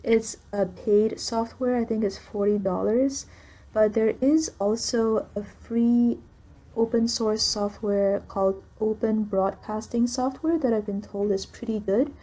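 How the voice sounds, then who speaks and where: A young woman with a soft voice, answering viewer questions in a casual voiceover recorded on a computer's built-in microphone. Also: a little high, unhurried, low-quality recording. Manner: soft-spoken, relaxed, with frequent ums and likes. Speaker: gaming channel creator.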